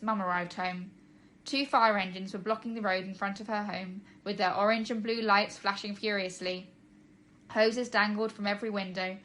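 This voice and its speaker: A woman's voice: mid-range voice, a bit bright. A woman reading a children's storybook aloud as a narrator, like a teacher to pupils.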